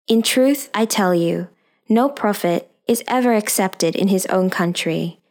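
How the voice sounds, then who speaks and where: A woman's voice: mid-range voice, darkish tone. A woman reading a scripture passage aloud as scripted narration. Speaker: scripture reader.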